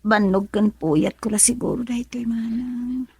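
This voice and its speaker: Breathy, ailing, tired young wife. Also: female voice, a little high, steady tone, moderate pace, mellow, no echo, studio quality. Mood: weary, weak. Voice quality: breathy, weak. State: sick, weary, whispery. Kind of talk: radio drama acting.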